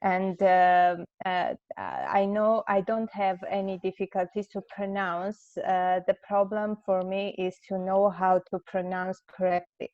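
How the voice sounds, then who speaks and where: A woman's voice: mid-range voice, animated, unhurried, hardly any pauses, no echo. A woman whose first language is Armenian, answering as a student with many hesitations. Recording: dull, dry lo-fi call audio.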